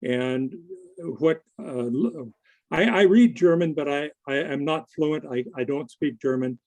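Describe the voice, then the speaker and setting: A man giving a conversational presentation to a group in a halting, deliberate manner. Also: fairly high pitch, darkish tone. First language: English. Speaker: conference presenter. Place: online video meeting.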